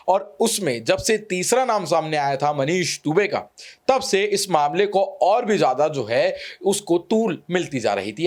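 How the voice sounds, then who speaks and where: Higher-pitched male news show host. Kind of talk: Hindi news presenting and discussion.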